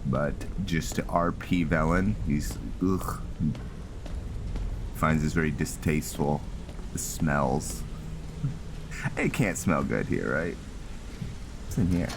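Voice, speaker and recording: A man with an extremely deep voice talks through a game he is playing. His commentary is casual and rambling, hesitant as he thinks aloud. There is clear noise and ambience behind him. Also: slow pace, pauses often. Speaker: gaming host, roleplayer.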